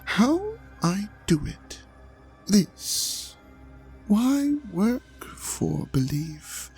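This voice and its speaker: A performer voicing a seductive, smug ancient dragon god in an intimate audio drama, teasing and coaxing the listener with breathy, half-whispered phrases. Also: mid-range voice, very animated, extremely slow, darkish tone, faint music behind, heavily acted. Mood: smug, playful.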